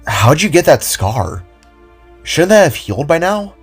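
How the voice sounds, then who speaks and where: A man's voice: on the high side, sing-song, measured pace, breathy edge, faint music behind, voice pushed up, exaggerated voice. A man voicing a playful younger brother who talks in a casual, teasing way. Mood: eager.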